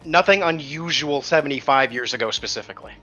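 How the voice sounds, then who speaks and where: A man's voice: fairly high pitch, quick pace, lo-fi sound, loud music behind the voice. A man running a tabletop game as game master, casually narrating and recapping the story with some swearing.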